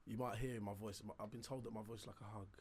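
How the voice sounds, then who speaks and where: A young man co-hosting a podcast, voice raspy and a bit hoarse, blunt and cocky. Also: lowish voice, steady tone, rapid-fire, a little mellow. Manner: blunt and cocky, joking boasts. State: slightly hoarse. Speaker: podcast co-host. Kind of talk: casual chat.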